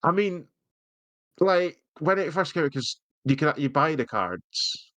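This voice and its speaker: A man's voice: on the high side, animated, a little slow, a bit bright, no room sound. A British man co-hosting a podcast in casual banter, with a wry, rambling, sweary way of talking. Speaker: podcast co-host.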